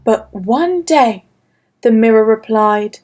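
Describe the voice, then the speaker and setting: A woman narrates a classic fairy tale for children in a slow, careful, gentle reading. Her voice sits high and the pace stays unhurried throughout. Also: lively intonation, soft background music. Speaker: storyteller. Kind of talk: scripted children's story narration.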